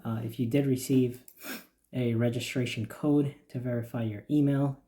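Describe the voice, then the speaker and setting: A man explaining the features of a church website in a steady, plain walkthrough with occasional fillers. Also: mid-range voice, moderate pace. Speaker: church website developer giving a demo. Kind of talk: screen-recorded software walkthrough.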